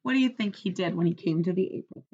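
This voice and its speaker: A woman teacher reading a storybook aloud to children, expressive and instructive, pausing to ask listeners questions. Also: on the low side, breathy edge, muffled recording. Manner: expressive, instructive, checking understanding.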